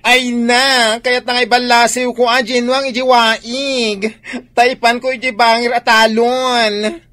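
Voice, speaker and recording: A timid, mistreated, effeminate son in an Ilocano radio drama. The voice sits fairly high, plaintive and complaining, with an Ilocano accent. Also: female voice, flat delivery, slow, a bit bright. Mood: distressed, plaintive.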